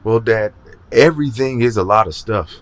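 A man's voice: fairly low pitch, moderate pace, close to an everyday voice. An actor playing a proud, devoted senator, a grown man, in an audio drama. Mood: guarded.

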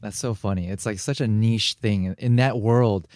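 A man's voice: lowish voice, fairly even intonation, moderate pace, darkish tone, no room sound. A man co-hosting a podcast, chatting casually about a TV show and readily agreeing and laughing along. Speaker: podcast co-host.

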